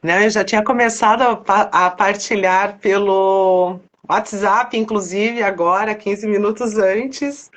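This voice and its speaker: A woman's voice: medium pitch, steady tone, a little slow. A woman with a Brazilian Portuguese accent, a psychotherapist guest chatting casually on a live stream. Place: online video call.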